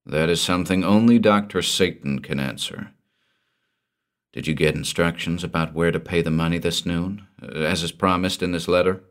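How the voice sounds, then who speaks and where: A man voicing a calm, steely detective who speaks in a quiet, measured murmur. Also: sits low, natural voice. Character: calm, steely male detective, cool and relentless.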